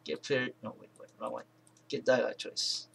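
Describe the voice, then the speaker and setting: Bright-voiced man giving a halting tutorial. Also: medium pitch. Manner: halting, rambling, self-correcting, mumbled asides. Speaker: tutorial presenter. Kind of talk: informal screen-recorded software tutorial, thinking aloud. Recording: clear background noise, keyboard and mouse clicks.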